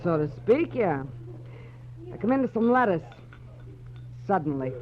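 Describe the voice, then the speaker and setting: An actress playing a tough, slangy, hard-drinking fortune teller in a 1940s radio drama. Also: female voice, mid-range voice, very animated, measured pace, faint music behind. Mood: wary, boastful. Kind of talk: 1940s radio detective acting. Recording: dull old 1940s radio recording.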